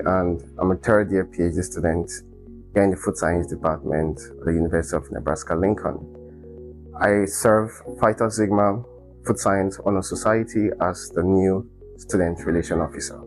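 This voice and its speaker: Deep-voiced man over music. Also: normal speed, low-quality recording. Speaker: PhD student. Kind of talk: self-introduction.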